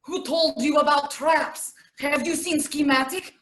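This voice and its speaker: A woman playing a terse, paranoid female soldier in a comic stage play, speaking in clipped, deadpan sentences without articles. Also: sits high, a little slow. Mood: stern.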